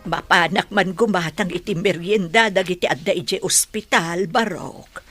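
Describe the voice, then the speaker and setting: A woman's voice: on the low side, moderate pace, a bit bright, soft background music, natural voice. A woman playing a concerned, motherly older woman in conversational radio drama.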